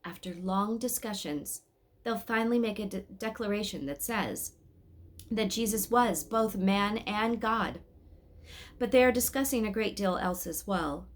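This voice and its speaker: A woman voicing a patient male angel guide in a storybook, explaining things in a measured, instructive way. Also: mid-range voice, lightly acted.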